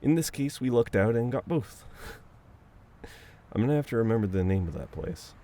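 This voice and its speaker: A man's voice: mid-range voice, expressive, moderate pace, close to an everyday voice. A man playing a caring, teasing, dominant best friend in an intimate audio roleplay, talking casually and conversationally. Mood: playful, relaxed. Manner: casual, conversational, with soft chuckles and hesitations.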